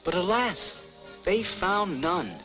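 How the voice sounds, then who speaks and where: A man's voice: high voice, big pitch swings, slow pace, full character voice. A man playing a whimsical storybook narrator for 1950s television, mock-solemn and theatrical. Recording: dull vintage 1950s TV soundtrack. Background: loud music.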